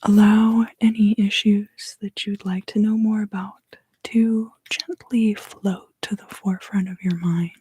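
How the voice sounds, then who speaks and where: A woman softly whispering an ASMR tarot reading as a livestream host, soothing and unhurried, in a roomy recording. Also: medium pitch, level tone, background noise.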